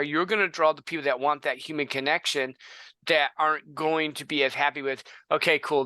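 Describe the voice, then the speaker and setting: A man's voice: a little high, bright, forceful tone, dry recording. A man hosting an interview podcast, talking casually and thinking aloud, with many "like"s. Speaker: podcast host. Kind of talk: conversational interview.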